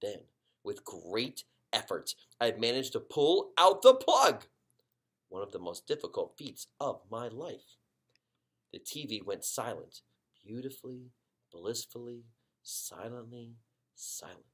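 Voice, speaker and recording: A man narrating a children's book expressively as a story reader, with dramatic pauses and drawn-out emphasis. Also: mid-range voice, big pitch swings.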